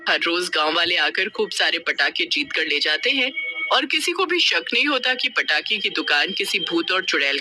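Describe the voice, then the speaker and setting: A storyteller narrating a scripted children's tale in an expressive, dramatic manner. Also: medium pitch.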